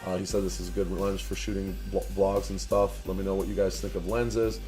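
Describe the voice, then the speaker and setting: A young man from the Chicago area chats to camera in a fairly low voice. He rambles, restarts his sentences and fills gaps with "uh" and "you know". Faint music plays behind him. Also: level tone, a little fast, dark tone. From Chicago area, USA. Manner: rambling, many fillers and restarts, asks viewers for advice. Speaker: vlogger and online business owner. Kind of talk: casual talk to camera.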